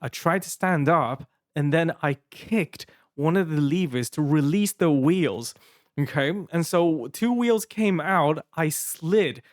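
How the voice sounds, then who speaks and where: A man's voice: fairly high pitch, expressive, slow pace, dry recording, clean studio sound. Taiwanese-accented man telling a rambling story. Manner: rambling, many restarts and fillers, laughing while telling. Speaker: podcast co-host. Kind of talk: casual storytelling.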